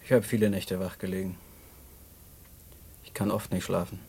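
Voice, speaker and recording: An actor playing a decent, thoughtful prisoner, speaking politely and hesitantly in a naturalistic radio-play style. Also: male voice, low-pitched, flat delivery, moderate pace, vocal fry, a little mellow, breathy edge, heavily acted. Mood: quiet, thoughtful. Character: earnest, decent, thoughtful male prison cellmate, no crook.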